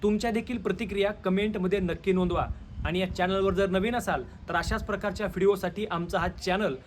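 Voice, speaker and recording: A man with a high-set voice and a Maharashtrian Marathi accent gives opinion commentary to his audience. His delivery is rhetorical and confrontational. Slight background noise sits under the recording. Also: steady tone, a little fast. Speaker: video commentator, channel host. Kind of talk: opinion commentary addressed to viewers.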